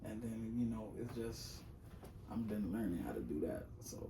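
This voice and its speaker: A young man who raps and sings, talking casually on a podcast in a loose, rambling way, full of slang and fillers. His voice is on the high side. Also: expressive, normal speed, distant mic, background noise. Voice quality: high-pitched voice. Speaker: rapper and singer guest.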